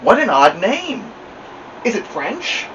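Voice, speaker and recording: A man's voice: high voice, huge pitch swings, slow, a bit bright, far from the mic, lo-fi sound, slight background noise, voice pushed up, full character voice. A man voicing an amused lady who exclaims over children in a storybook reading. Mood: amazed, tickled.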